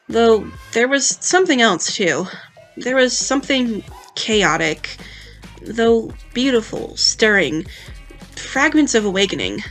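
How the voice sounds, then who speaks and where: Over a music bed, a woman acts a frank, wary young female rebel. She tells her story in the first person, confessional and dramatic, with a medium-pitched voice, as in an audio storybook. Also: bright, forceful tone, low-quality recording. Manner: confessional, storybook-style telling. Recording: music behind the voice.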